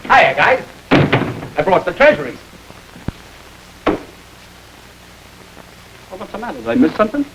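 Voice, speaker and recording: An actor playing a breezy, wisecracking, sardonic ex-convict gangster in an old-time radio drama. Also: male voice, sits high, big pitch swings, unhurried, long pauses. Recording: noisy vintage radio recording.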